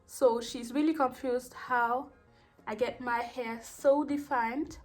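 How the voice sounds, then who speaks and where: A woman with a strong German accent chatting casually in a vlog, rambling and pausing with fillers as she talks. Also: on the high side, steady tone, soft background music. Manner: unhurried, rambling, with many pauses and fillers. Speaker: hair vlogger. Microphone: voiceover microphone.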